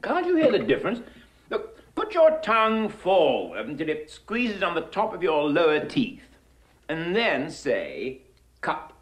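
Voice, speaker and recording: A man's voice: on the high side, wildly swooping pitch, unhurried. An actor playing an impatient male speech professor in a classic film musical, drilling his pupil with insistent, clipped corrections. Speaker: phonetics professor.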